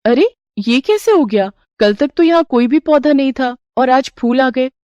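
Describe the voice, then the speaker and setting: Woman playing an expressive, wide-eyed young daughter-in-law. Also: high-pitched, moderate pace, no room sound, dull audio, voice pushed up. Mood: amazed, puzzled. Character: polite, easily amazed young daughter-in-law in a Hindi tale. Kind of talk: cartoon storybook character voice.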